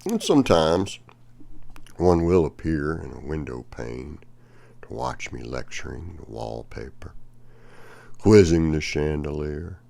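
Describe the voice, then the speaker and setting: An older man reading aloud from a book with brief asides, in a deliberate delivery that swings from emphatic to hushed. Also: a bass voice, big pitch swings, creaky. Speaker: reader of a poetry textbook.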